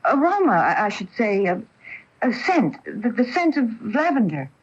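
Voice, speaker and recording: Earnest, high-voiced young woman. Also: sing-song, normal speed, breathy edge. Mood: uneasy. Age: young adult. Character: eager young aspiring actress from small-town Iowa. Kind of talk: radio drama acting. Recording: dull lo-fi radio broadcast tape.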